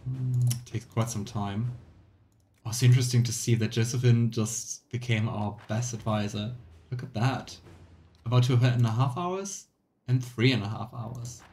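A man's voice: medium pitch, unhurried, a little mellow, soft background music. A man in his thirties giving let's play commentary, musing to himself in short remarks and reading game text aloud. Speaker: game streamer. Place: small room indoors at home. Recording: clear background noise, game ambience.

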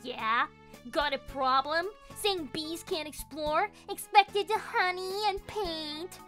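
A woman's voice: extremely high pitch, takes it slowly, bright, forceful tone, soft background music, full character voice. A woman voicing a brash, cocky young female bee explorer in a fan dub of a video game. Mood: defiant. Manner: cocky, defiant.

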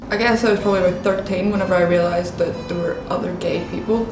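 A woman, speaking relaxed and trailing off in an interview. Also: lowish voice, fast. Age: 20s. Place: indoor youth centre room. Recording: distant echoey voice over loud music.

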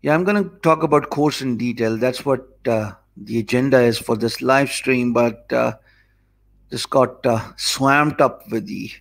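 A man in his forties with a Pakistani English accent, teaching live to viewers in a slow, easy, conversational way from an office. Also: medium pitch, creaky. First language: Urdu. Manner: deliberately slow, easy speech; thinks aloud, loose and conversational. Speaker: online course instructor. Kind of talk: live stream talk to viewers. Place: office room, indoors. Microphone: desk mic in front of him.

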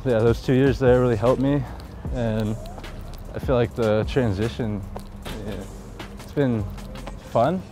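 A man's voice: medium pitch, unhurried, pauses often, over music. A young man, a college baseball player, chatting casually in an interview while walking outdoors on a campus. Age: young adult. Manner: relaxed, laid-back, lots of fillers.

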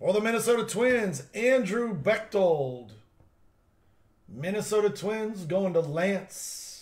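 A man's voice: high voice, expressive. A young man hosting a live trading card break, joking with viewers over player names and calling out pulls. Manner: playful, joking with viewers over name pronunciations; drawn-out hype calls of big pulls. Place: indoor studio at a table.

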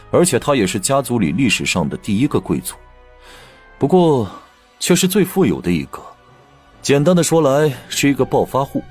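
A man's voice: mid-range voice, a little mellow, voice pushed up, close to an everyday voice. A man voicing a gossipy, knowing young prince in an audiobook, chatty and emphatic. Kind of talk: audiobook character dialogue.

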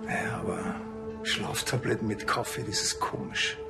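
An actor with a Bavarian accent playing a laconic, dry-witted small-town police officer in a TV crime comedy. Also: male voice, fairly low pitch, steady tone, moderate pace, vocal fry, over loud music. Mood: probing, casual.

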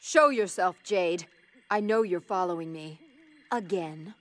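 An actress plays a proud, defiant princess warrior in a video game, speaking in a formal, haughty, commanding way. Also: female voice, fairly low pitch, unhurried, close to an everyday voice. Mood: resolute. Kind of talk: video game cutscene acting.

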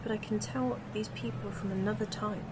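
A woman's voice: medium pitch, steady tone, fairly quick, background noise, natural voice. A woman playing a curious, sceptical young companion from the future in a fan audio drama. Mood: amazed. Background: music.

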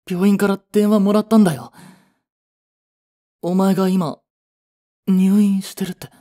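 A man playing a young office worker, a proud but regretful boyfriend, in a romantic voice drama with a soft, breathy delivery. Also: high-pitched, level tone, a little slow. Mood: shocked, anguished. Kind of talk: romantic voice drama acting with introspective narration.

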